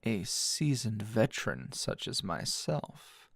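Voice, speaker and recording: A man's voice: lowish voice, big pitch swings, slow, creaky, a bit bright, husky, no echo, clean studio sound, full character voice. A man playing a teasing, dominant, seasoned anime hero as a tender lover in an intimate ASMR roleplay, murmuring breathily and slipping into whispers. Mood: teasing, commanding.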